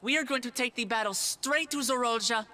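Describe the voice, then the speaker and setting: Bright, solemn young female ruler. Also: a little high. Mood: resolute. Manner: solemn, earnest, measured public oratory. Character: resolute young female ruler rallying her grieving people. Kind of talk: dramatic video game cutscene acting. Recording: background music under the voice.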